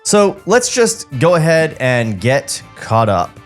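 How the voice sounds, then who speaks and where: A man's voice: on the high side, big pitch swings, slow. A man co-hosting a comedy podcast, reading a script aloud and bantering in a casual, mocking way. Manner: mocking, sardonic. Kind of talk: casual comic banter and script table read. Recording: music playing behind the voice. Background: background music.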